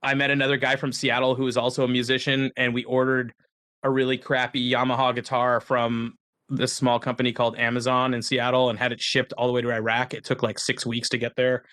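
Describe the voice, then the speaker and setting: A man's voice: mid-range voice, completely flat delivery, a little fast, fairly bright tone. A man in his thirties, a musician guest, telling rambling, self-deprecating stories in a casual conversation.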